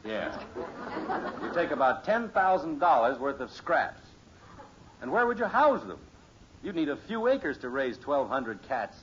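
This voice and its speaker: Animated 1950s American sitcom dad. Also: male voice, mid-range voice, a little slow. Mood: patient, exasperated. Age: middle-aged. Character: middle-aged suburban father, patient, reasonable, proud of bargains. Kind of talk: 1950s radio sitcom acting. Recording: muffled, noisy old radio recording.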